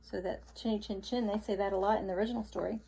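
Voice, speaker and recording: A woman's voice: mid-range voice, steady tone, fast talker, gravelly, dark tone, slightly rough, low-quality recording. A woman speaking as a teacher to children, explaining a story and posing questions in asides. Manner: explains and poses questions to young listeners. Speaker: teacher reading aloud to children. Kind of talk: read-aloud lesson with explanatory asides.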